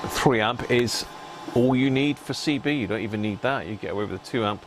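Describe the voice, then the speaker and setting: A man hosting a live repair stream, chatting casually to viewers and thinking aloud in short, halting phrases. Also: medium pitch, background noise.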